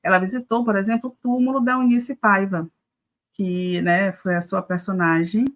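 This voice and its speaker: Brief: woman from Brazil, live news show host, chatty, halting fillers, mid pitch. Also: steady tone. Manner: conversational, many filler hesitations.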